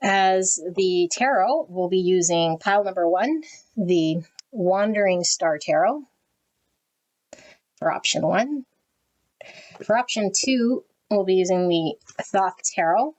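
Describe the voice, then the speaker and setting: A woman giving a tarot reading to her viewers, talking frankly and often tagging "okay?" onto her sentences, with her voice swinging high and low. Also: animated, takes it slowly, pauses often, a little mellow, poor audio. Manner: pitch swings high and low as she talks. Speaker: tarot reader. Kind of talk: casual direct-to-camera tarot reading.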